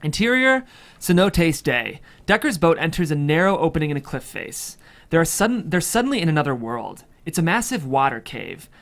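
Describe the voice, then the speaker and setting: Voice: medium pitch, very animated, moderate pace, a bit bright. A narrator reading screenplay scene headings and action lines at a table read. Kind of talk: scripted narration of screenplay stage directions.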